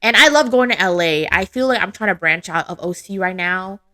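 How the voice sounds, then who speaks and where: A woman's voice: fairly low pitch, expressive, a little fast, sharp tone, dry recording. A woman in her late twenties, a podcast guest in casual chat, chatty and giggly, saying "like" a lot. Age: 20s.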